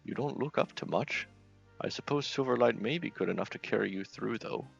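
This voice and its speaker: Aging bumbling knight acted by a man, husky and gravelly, blustering, sceptical, fantasy game character. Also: fairly low pitch, a little fast, highs cut off, faint music behind, heavily acted.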